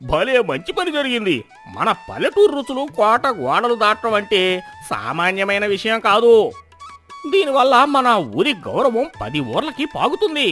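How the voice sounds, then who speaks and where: A man voicing an excitable, emphatic gossiping villager in a moral story. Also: very high voice, heavily acted. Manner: emphatic, animated. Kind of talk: Telugu moral story dubbing.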